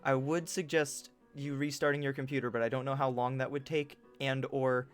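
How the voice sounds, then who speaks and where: A game streamer giving casual, jokey, excitable live commentary and reading game text aloud. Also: mid-range voice, moderate pace, soft background music.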